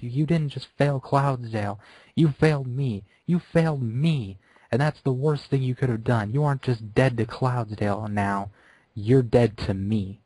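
A man voicing a villainous female pegasus who shouts and gloats with manic fury. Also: mid-range voice, no room sound, pitched above the performer's own voice, lightly acted, poor audio.

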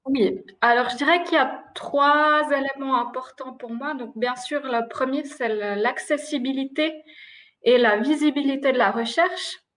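A woman, a library director answering on a video call panel in a structured, measured way. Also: high-pitched, fairly bright tone. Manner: structured, measured, some fillers. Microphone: video call, laptop webcam.

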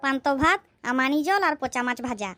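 A woman's voice: high voice, lively intonation, fairly quick, pushed and bright, faint music behind. A woman voicing a whiny hapless bridegroom. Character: hapless young village bridegroom.

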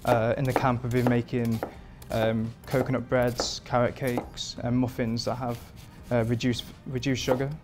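A man in his thirties, a performance chef from England, answering interview questions in a relaxed, rambling way with many fillers, in a home kitchen. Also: mid-range voice, normal speed, few pauses, heavy background noise. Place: modern home kitchen indoors.

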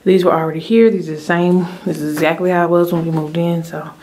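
A woman's voice: fairly low pitch, steady tone, quick pace, darkish tone, a little hiss or hum. A woman in her thirties giving a casual, chatty tour of an empty house, talking to viewers on a handheld phone. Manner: chatty, relieved, addresses viewers as guys and y'all. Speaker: vlogger. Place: empty house, rooms and basement. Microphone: handheld phone camera.

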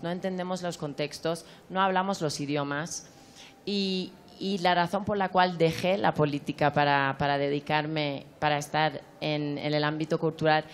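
A woman's voice: low voice, slight background noise. A woman in her forties from Iran, a curator answering on a panel in a large hall, speaking into a handheld microphone with frequent restarts and fillers. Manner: thoughtful, many restarts and fillers. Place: large hall at an art fair.

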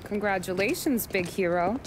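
An actress playing a teasing, playful young waitress in a video game. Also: female voice, mid-range voice, expressive, moderate pace, background noise, lightly acted.

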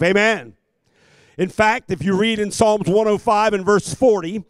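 A man in his fifties preaching a sermon as a pastor, speaking emphatically into a handheld microphone with deliberate repetition. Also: sits high, dry recording. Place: church sanctuary stage at a pulpit. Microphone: handheld microphone held close.

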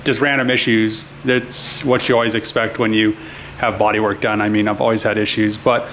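A man's voice: fairly low pitch, little pitch movement, normal speed, fairly bright tone, slight background noise, rough recording. A man in his thirties hosting a casual car vlog, talking in an easygoing, conversational way with plenty of fillers. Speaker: YouTube host. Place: car garage, then indoors at home.

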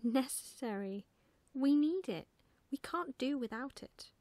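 A woman's voice: high voice, animated, unhurried, a little mellow, dry recording, voice pushed up. A woman voicing a proud, bossy little girl in a storybook, explaining things in an emphatic, matter-of-fact way.

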